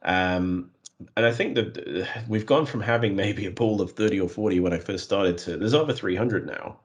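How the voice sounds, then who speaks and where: A man with a very deep voice who talks in an even, flat delivery, circling back through fillers and self-corrections. He sounds like a guest in a relaxed interview. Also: moderate pace. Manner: self-described flat, monotonous voice; many fillers and restarts. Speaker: guest, custom visual developer. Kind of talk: conversational interview.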